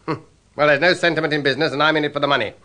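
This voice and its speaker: An actor playing a hard-nosed new company boss in a radio drama, blunt, scornful and clipped. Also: male voice, fairly high pitch, fairly even intonation, rapid-fire, bright, forceful tone. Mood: scornful, brusque.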